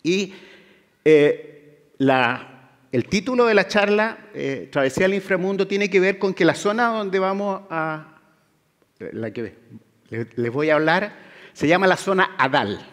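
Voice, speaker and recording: A man's voice: high-pitched, unhurried, long pauses, fairly bright tone. A middle-aged man with a Chilean Spanish accent, giving a public science lecture in a conversational way, with fillers and tag questions. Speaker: guest lecturer, oceanographer. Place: large lecture hall with audience. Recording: hall reverb from a lecture room.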